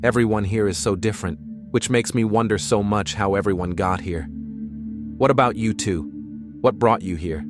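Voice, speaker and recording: A man voicing a friendly, curious and hopeful rebel in an amateur animated series. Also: lowish voice, moderate pace, over music, close to an everyday voice.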